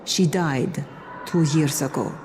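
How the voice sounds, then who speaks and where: A grave survivor medic with a low, dark voice. She explains things in an earnest, hushed manner, as in a video game cutscene, over faint noise. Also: takes it slowly. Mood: earnest, explanatory. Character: grave, caring woman medic among outbreak survivors.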